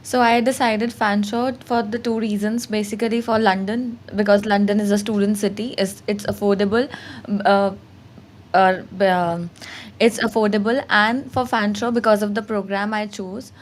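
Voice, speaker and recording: A woman's voice: medium pitch, steady tone, normal speed, a little hiss or hum. A woman from Delhi, a student ambassador answering panel questions steadily, with some hesitations. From Delhi, India.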